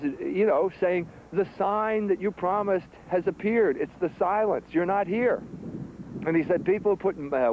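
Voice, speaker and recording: A man, a journalist, speaking casually in an interview, with restarts as he talks, recorded outdoors in a town. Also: a little high. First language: English. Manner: conversational, with restarts. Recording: phone-like band, loud street noise.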